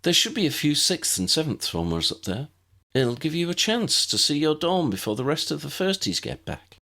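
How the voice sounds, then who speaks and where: A man's voice: a little high, big pitch swings, moderate pace, pushed and bright, pitched above the performer's own voice, full character voice. A man voicing a helpful, reassuring older schoolgirl witch in a storybook, friendly and explanatory. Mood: welcoming.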